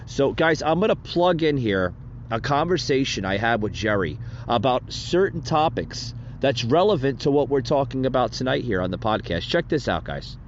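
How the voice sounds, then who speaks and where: A man hosting a political podcast, talking in a halting way with many pauses. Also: mid-range voice, sing-song, a little hiss or hum. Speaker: podcast host. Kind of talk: casual political podcast talk. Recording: dull lo-fi audio.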